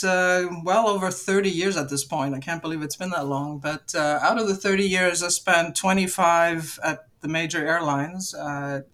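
A woman's voice: on the low side, fairly bright tone. A woman in her fifties from Luxembourg, chatting upbeat and at length as a podcast guest about her career. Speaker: podcast guest, aviation executive. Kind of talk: casual interview conversation.

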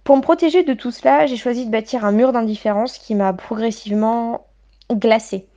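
A woman's voice: a little high, normal speed, dark tone, muffled recording. A woman in her late twenties giving articulate, literary, self-deprecating personal testimony as a guest in a radio interview.

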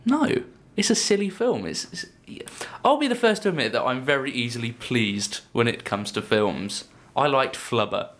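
A young man with a slightly croaky voice guests on a casual film podcast in a small studio. He rambles and hesitates, with plenty of fillers, as he recounts a plot. His pitch sits in the middle of the range. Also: very animated, normal speed, few pauses, fairly bright tone, slight background noise.

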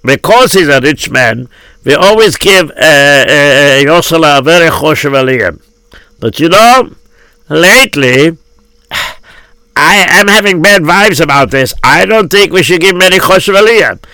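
A man's voice: a little high, animated, unhurried, sharp tone, dry recording, pitched above the performer's own voice, full character voice, poor audio. A man playing a suspicious, gossiping synagogue official in a told tale, talking in an emphatic, conspiratorial way.

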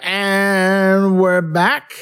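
A man co-hosting a podcast in casual chat, English his second language after Spanish, animated and joking. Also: high-pitched, very slow. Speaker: podcast co-host.

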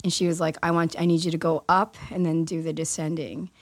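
A woman musician with a raspy voice, chatting loosely as a guest with many fillers. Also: low-pitched, little pitch movement, fairly quick, no echo. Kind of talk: casual chat.

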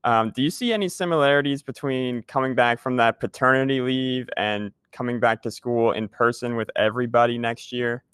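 A young man hosts a casual podcast, agreeing readily and scattering "like" and "man" through his sentences. His voice is smooth and resonant and sounds close and dry, with no room around it. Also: mid-range voice, a little fast, few pauses, a bit bright, dry recording. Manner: agreeable, uses lots of fillers like "like" and "man". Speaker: podcast host, music teacher.

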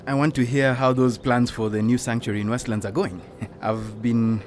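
Actor as Kenyan politician over music. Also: male voice, medium pitch, steady tone, normal speed, a little mellow, natural voice. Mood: breezy. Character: smooth, showy male politician, middle-aged father and husband. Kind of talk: audio fiction dialogue.

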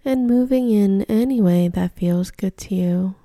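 A woman guides a meditation in a deeply relaxed, dark voice. She speaks slowly and gently, almost whispering, and leaves long pauses. The sound is close and dry, with no room around it. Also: no room sound.

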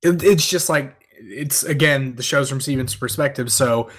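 A man with a medium-pitched voice takes part in a casual group discussion. He speaks informally and haltingly, circling around what he means. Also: a bit bright. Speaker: podcast panelist.

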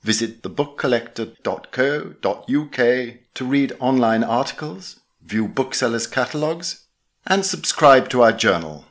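A man's voice: medium pitch, expressive, unhurried, a bit bright. A man introducing and closing a recorded reading in a plain, matter-of-fact announcing manner. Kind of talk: scripted introduction and outro.

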